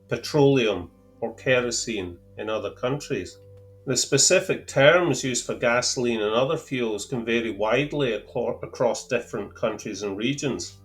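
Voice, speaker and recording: A man with a Scottish accent explaining fuel terms to camera in a careful, deliberate way, with a lightly wry touch. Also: medium pitch. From Scotland. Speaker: outdoor gear channel host. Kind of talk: measured explanatory talk to camera.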